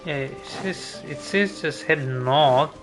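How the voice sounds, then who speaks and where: A man giving casual, unhurried gameplay commentary, thinking aloud as he goes. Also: on the high side. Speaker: game streamer. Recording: game music behind voice. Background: video game music.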